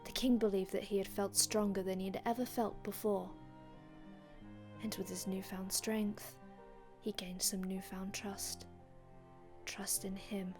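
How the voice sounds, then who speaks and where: A woman voicing a melancholy vampire from an older time in an ASMR roleplay, speaking in a hushed, breathy way with dramatic pauses and formal, old-fashioned wording. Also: medium pitch, level tone, lightly acted. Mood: wistful, hushed storytelling. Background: music.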